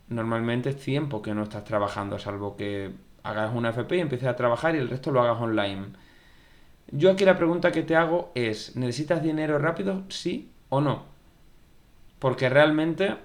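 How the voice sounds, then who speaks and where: A man's voice: mid-range voice, darkish tone. A young man gives casual, conversational advice about programming studies, often repeating phrases and acting out viewer questions. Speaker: YouTube tech educator. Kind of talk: casual advice talk to camera.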